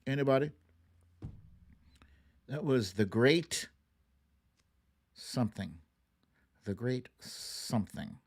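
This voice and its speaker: A man's voice: medium pitch, animated, slow pace, long pauses, a little mellow. A man hosting a live online guessing game, chatting casually and playfully with his audience in an informal way. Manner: playful, informal, chuckling between remarks. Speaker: livestream host running a song-guessing game. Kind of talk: casual live chat with audience, reading out viewer names.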